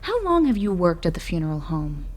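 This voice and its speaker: A woman's voice: lowish voice, big pitch swings, brisk, a little mellow. An actress playing a skeptical policewoman who questions coolly and pointedly in an audio drama. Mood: probing, skeptical.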